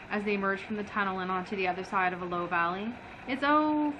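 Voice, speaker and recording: A woman reads a book aloud in an expressive, dramatic way. Her voice sits at a middling pitch, and there is clearly audible rumbling ambience behind her. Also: highs cut off. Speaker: book reviewer. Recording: noisy, rumbling background ambience.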